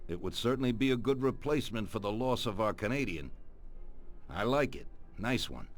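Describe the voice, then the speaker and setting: Man acting as low-voiced, commanding mafia don. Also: expressive, lightly acted. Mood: businesslike. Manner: measured, authoritative. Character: composed, commanding older male mafia don. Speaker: crime boss. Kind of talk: video game cutscene acting. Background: music.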